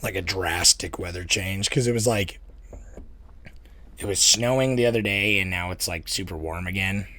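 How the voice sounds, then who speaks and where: A man with a raspy voice co-hosting a podcast in casual, laid-back chat, swearing and laughing easily. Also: low voice, normal speed, sharp tone, a little hiss or hum. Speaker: podcast co-host.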